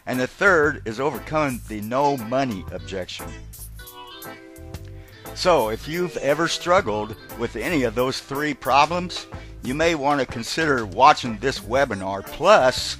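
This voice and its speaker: A man's voice: mid-range voice, animated, a little slow, fairly bright tone, faint music behind. Friendly man introducing a webinar. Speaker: webinar host. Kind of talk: scripted introduction.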